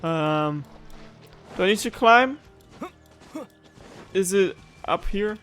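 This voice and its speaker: A man's voice: high voice, expressive, slow pace, a bit bright. A man giving casual let's-play commentary, musing aloud and rambling with playful complaints. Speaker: gaming streamer. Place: own room at home. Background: game music.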